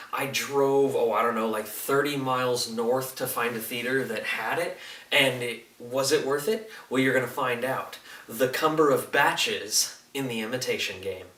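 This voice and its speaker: A man's voice: mid-range voice, normal speed, fairly bright tone. A man giving a casual, unscripted movie review as a video host, speaking enthusiastically and emphatically with informal slang. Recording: roomy echo, distant microphone.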